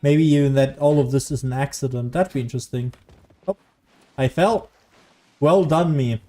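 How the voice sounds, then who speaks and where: A woman's voice: a bass voice, normal speed, mellow. A woman giving casual gameplay commentary, understated and musing to herself as she plays. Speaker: game streamer.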